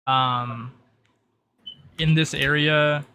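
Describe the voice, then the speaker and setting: The man at the head of an online tabletop session, briefing players in halting bursts with a bright edge, keys clicking in the background. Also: on the high side, fairly even intonation. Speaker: game master. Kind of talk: narrating a tabletop game, in and out of character. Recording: noisy mic with keyboard clatter.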